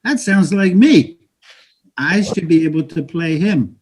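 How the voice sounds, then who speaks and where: A man's voice: on the high side, lively intonation, a little slow, a little mellow, husky. A man playing a proud, theatrical Salvadoran father of thirty-five in a staged reading, with a grandiose, insistent manner. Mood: boastful. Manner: grandiose, insistent, mixes Spanish into English. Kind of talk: live staged play reading.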